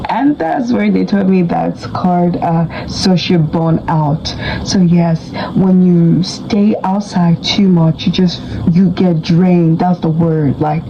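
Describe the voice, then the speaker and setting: A young woman in her twenties chatting playfully to camera in a casual vlog, laughing often and jumping into high-pitched emphasis. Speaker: vlogger. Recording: dull lo-fi sound with clear background noise.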